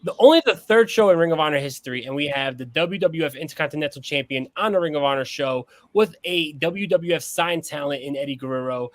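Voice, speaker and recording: A man's voice: fairly high pitch, quick pace, hardly any pauses, dry recording. Dry-sounding man previewing a podcast episode. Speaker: podcast co-host.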